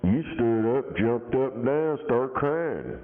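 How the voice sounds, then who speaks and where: A man with a deep voice talking crude, combative, mocking banter over a CB radio. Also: expressive, takes it slowly. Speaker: CB radio talker. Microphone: radio handset. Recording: tinny CB radio transmission.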